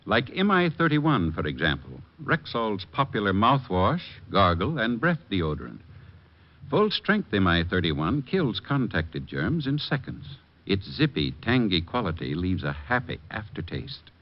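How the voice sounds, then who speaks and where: An actor playing an earnest family druggist in a scripted vintage radio advertisement. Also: male voice, on the low side, big pitch swings, a little slow. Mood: earnest selling. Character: earnest male family druggist pitching products. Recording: dull old radio recording.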